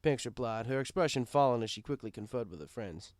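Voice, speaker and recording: A man's voice: medium pitch, lively intonation, fairly quick, darkish tone, no room sound, clean studio sound. A man reading a first-person story as scripted narration.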